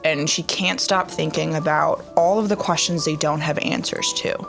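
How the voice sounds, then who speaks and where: A woman's voice: low-pitched, steady tone, normal speed, creaky, music in the background. A young woman in a tabletop game, reflectively describing her character's thoughts with frequent fillers. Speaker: player.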